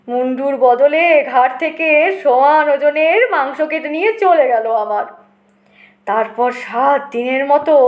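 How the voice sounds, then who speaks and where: Woman acting out a boastful uncle, very high voice. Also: roomy sound. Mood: dramatic boasting. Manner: blustering, dramatic storyteller. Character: boastful, touchy uncle telling tall war tales. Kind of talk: acted audiobook storytelling.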